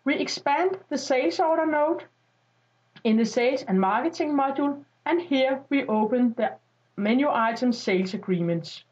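A woman reading a scripted step-by-step software tutorial as a voice-over, in an instructional style. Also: high voice, lively intonation, moderate pace. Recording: dull lo-fi voice-over.

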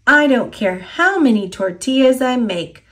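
A woman's voice: high voice, lively intonation, unhurried, a bit bright, pitched above the performer's own voice. Woman as a sweet, eager little American girl. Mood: affectionate. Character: affectionate little girl of seven, eager granddaughter.

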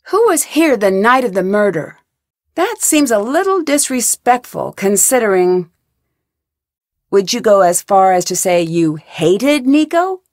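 A woman's voice: fairly high pitch, no room sound, studio quality. An actress playing a young female detective in a video game, asking polite, pointed, probing questions with composure.

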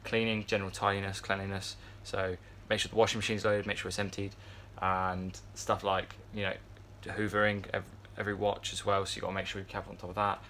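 A man's voice: deep voice, level tone, fairly quick, hardly any pauses, pushed and bright, a little hiss or hum. A man in his twenties, chatting casually to a phone camera in a yacht crew room, rambling with lots of fillers and restarts. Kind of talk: casual vlog chat to camera. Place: yacht crew area, indoors, fan nearby. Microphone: phone camera at arm's length.

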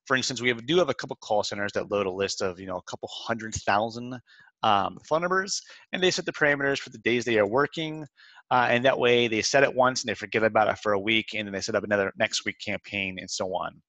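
A man presenting a software walkthrough in an informal, step-by-step instructional way. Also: fairly low pitch, fast talker, no echo. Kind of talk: walkthrough narration of a screen demo, semi-scripted. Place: close dry voice-over for a screen recording.

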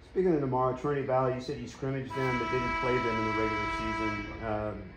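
A man's voice: mid-range voice, little pitch movement, fast talker, reverberant, loud music behind the voice. A man asking a coach conversational follow-up questions at a press conference. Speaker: sports reporter.

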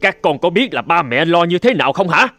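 A man's voice: fairly high pitch, big pitch swings, brisk, sharp tone, no echo, exaggerated voice. A man playing a stern but forgiving father of young boys in a cartoon. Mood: stern, questioning. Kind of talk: cartoon voice acting. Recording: music under the dialogue.

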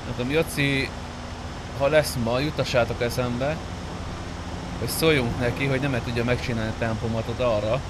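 Man, mid-pitched voice, informal grumbling gamer chat, clear vehicle noise behind. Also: steady tone. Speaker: streamer playing online roleplay game. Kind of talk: casual gaming chat with friends.

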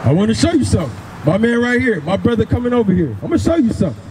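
Male street preacher, very high pitch, insistent and repetitive delivery, noisy open-air recording. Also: very animated, racing, long reverb tail. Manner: emphatic, repeats phrases, commands a reader. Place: outdoors on a grassy housing-project lawn. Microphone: handheld microphone through a portable speaker.

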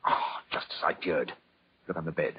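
A brisk English detective in an old radio drama. He is low-voiced and very quick, crisp and commanding, on a muffled recording. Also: heavily acted. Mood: urgent. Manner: crisp, authoritative. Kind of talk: 1940s radio acting.

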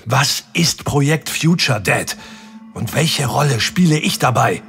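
A worked-up young hero, mid voice, music in the mix. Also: male voice, medium pitch, animated, a little slow, creaky, a bit bright. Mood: agitated. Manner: agitated and demanding. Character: angry young hero confronting his father. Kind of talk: audio drama acting.

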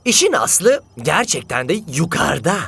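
An actor playing an eager, excitable young male footballer in a cartoon dub, earnest in manner. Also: mid-range voice, moderate pace, creaky, husky, exaggerated voice. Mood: earnest, intent. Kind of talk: cartoon dubbing.

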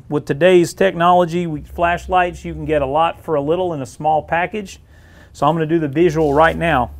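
A middle-aged man, a weld test supervisor, explaining a visual weld inspection in a shop and often clearing his throat. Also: on the high side. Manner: frequent throat clearing, plain-spoken explaining. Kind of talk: instructional demonstration. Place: indoor welding shop.

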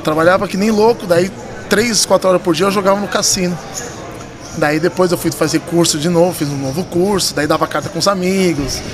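High-voiced São Paulo man telling stories. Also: a little fast. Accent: São Paulo Brazilian. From São Paulo, Brazil. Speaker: podcast guest, poker dealer and tournament director. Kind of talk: casual storytelling in a podcast interview. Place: poker club room, indoors. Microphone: vintage-style desk microphone. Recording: busy room crowd murmur behind.

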